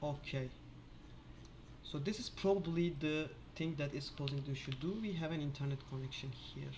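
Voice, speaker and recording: A man casually thinking aloud in an office while fixing software, halting with many filler sounds. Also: fairly high pitch, noisy background. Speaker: Linux developer screencasting.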